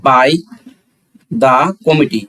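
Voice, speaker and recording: A man's voice: medium pitch, very slow, sharp tone. A man with a Bangladeshi accent teaching a lesson step by step, repeating key phrases and asking questions that he answers himself. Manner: didactic, asks and answers own questions. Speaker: English grammar teacher. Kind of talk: classroom-style lesson explanation.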